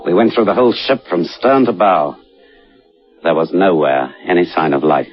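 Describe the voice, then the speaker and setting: An actor playing a sober seafaring man in a radio drama, grave and measured as he tells a haunted tale. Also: low-pitched, close to an everyday voice. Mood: grave narration. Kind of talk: radio drama narration and dialogue. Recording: dull radio recording, music behind.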